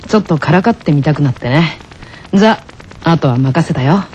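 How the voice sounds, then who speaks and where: A woman voicing a gentle, teasing teenage tennis boy in an anime radio skit, speaking with playful deliberation. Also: low-pitched, lively intonation, a little fast, muffled recording, a little hiss or hum, pitched below the performer's own voice. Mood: gently teasing.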